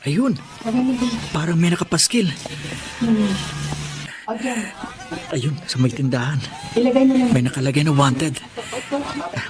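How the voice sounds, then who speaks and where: A man's voice: medium pitch, noisy background, lightly acted. A man playing a polite, hardworking young orphan with a Filipino accent in a Tagalog radio drama, earnest and deferential. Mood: weary, searching.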